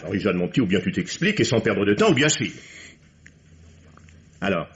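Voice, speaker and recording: An actor playing a cold, sarcastic lover, cutting and theatrical, in a French vintage radio drama. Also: male voice, fairly low pitch, very animated, fairly quick. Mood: impatient sarcasm. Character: cold, mocking, cruel male lover eager to leave. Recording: dull vintage radio recording.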